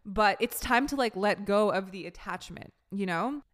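A woman's voice: medium pitch, normal speed. A woman about to turn thirty-one, talking candidly in a casual podcast monologue, full of "like" and casual swearing. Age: 30s. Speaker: podcast host.

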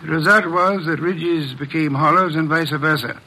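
An actor playing a pompous, exacting middle-aged detective with precise, imperious diction in a classic radio mystery. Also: male voice, fairly high pitch, normal speed, pushed and bright, breathy edge, exaggerated voice, rough recording. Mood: explaining, impatient.

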